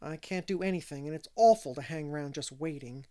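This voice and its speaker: A man voicing an excitable young servant girl who is wide-eyed and gossipy, with breathless, folksy, ungrammatical speech in a storybook reading. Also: on the high side, a little fast, close to an everyday voice. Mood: anxious, gossipy.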